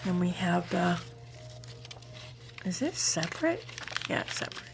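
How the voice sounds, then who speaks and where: A woman with a slightly raspy morning voice, chatting casually and haltingly as she narrates a craft show-and-tell. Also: fairly low pitch, very animated, noisy background. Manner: unhurried, chatty, halting as she shows items. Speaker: craft vlogger.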